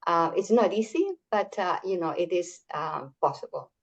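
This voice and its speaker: Older Chilean woman speaking haltingly. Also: on the low side, lo-fi sound. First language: Spanish. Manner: halting, many fillers and restarts. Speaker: workshop speaker. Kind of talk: community talk on an online call.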